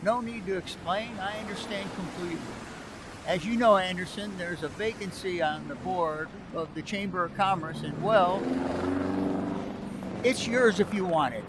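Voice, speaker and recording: A man's voice: high voice, moderate pace, background noise, natural voice. A man playing a genial, patronizing older businessman in a vintage-style radio comedy, stammering and hesitant in an avuncular way.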